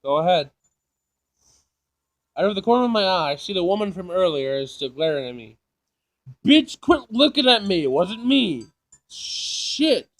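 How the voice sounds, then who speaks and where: A man's voice: high voice, very animated, moderate pace, long pauses. A man doing a casual let's play, reading game text aloud and reacting in an informal, sassy way. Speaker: gaming video player.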